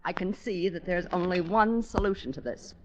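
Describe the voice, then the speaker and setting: An actress playing a domineering, scolding middle-aged wife in an old radio drama. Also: female voice, fairly low pitch, moderate pace, dull audio, lightly acted. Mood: angry, threatening. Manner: scolding, bossy. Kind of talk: 1940s-50s radio drama acting. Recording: noisy, crackly vintage radio recording.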